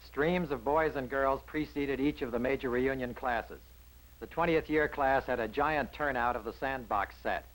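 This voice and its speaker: A man with an American accent narrates a campus newsreel in 1960s style. His delivery is scripted and polished, with a wry touch, at a middling pitch. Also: normal speed, a bit bright, poor audio.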